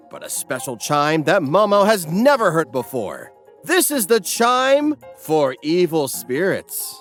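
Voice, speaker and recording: Wildly animated comedic male narrator. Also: sits high. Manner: exaggerated, mock-dramatic, wry. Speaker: narrator of an anime parody recap. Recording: music behind the voice.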